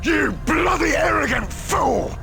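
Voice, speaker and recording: An actor playing a gruff, hot-tempered veteran sergeant major in a video game cutscene, blunt and bellowing. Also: male voice, extremely high pitch, lively intonation, takes it slowly, bright, forceful tone, raised voice, full character voice. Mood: furious. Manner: bellowing, blunt, then wry. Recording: game cutscene with music behind.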